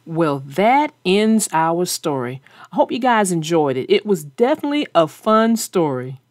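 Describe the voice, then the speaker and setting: A woman's voice: fairly low pitch, sing-song, a little slow, a little mellow, dry recording, clean studio sound. A woman hosting a children's read-aloud, narrating a storybook and chatting casually about it.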